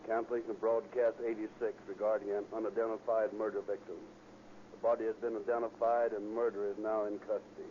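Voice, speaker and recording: A man playing a brisk, impassive police dispatcher in a 1930s radio drama, reading bulletins in a clipped, official way. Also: lowish voice, flat delivery, close to an everyday voice. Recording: muffled, noisy 1930s radio recording.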